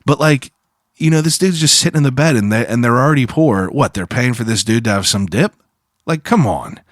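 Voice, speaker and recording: A hoarse man hosting a podcast, telling a story in a loose, rambling way full of slang. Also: mid-range voice, animated, brisk, dry recording, studio quality. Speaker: podcast host. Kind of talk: casual podcast monologue.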